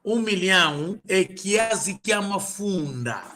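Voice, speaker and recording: A man's voice: sits high, animated, slow pace, pushed and bright, a little hiss or hum. A man tutoring a student in an informal lesson, patiently repeating and drilling words. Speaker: language tutor.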